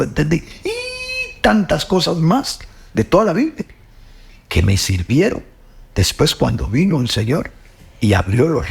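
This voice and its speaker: Gravelly Guatemalan man, earnest faith talk. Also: mid-range voice. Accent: Guatemalan Spanish. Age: middle-aged. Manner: earnest, repeats words, ends with "pues, ¿verdad?". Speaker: host.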